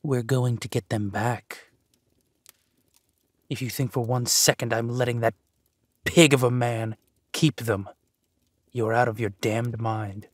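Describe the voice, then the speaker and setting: A man acts out a ruthless warlord duke in a fantasy audio drama. He takes his time over every phrase with possessive menace, in a mid-pitched voice, recorded close and dry. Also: steady tone, no echo. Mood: angry, menacing. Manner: menacing, slow, deliberate phrasing. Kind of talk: dramatic villain roleplay audio.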